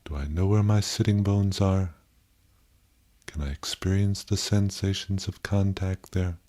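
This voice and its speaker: A man leading a guided meditation in a gentle, contemplative way, with pauses and softly posed questions. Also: sits low, fairly even intonation.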